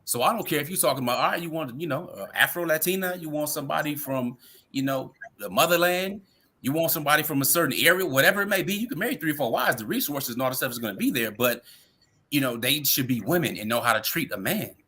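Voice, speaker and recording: A father in a casual discussion, speaking in an opinionated, animated way. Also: on the high side, fast talker, breathy edge.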